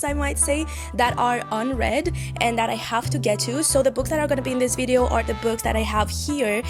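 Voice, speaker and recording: Chatty young woman, bookish vlog. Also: fairly high pitch. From Eastern Europe. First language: Eastern European language. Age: 20s. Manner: chatty, rambling, self-deprecating. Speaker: book vlogger. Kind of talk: casual chat to camera. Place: home room with bookshelves.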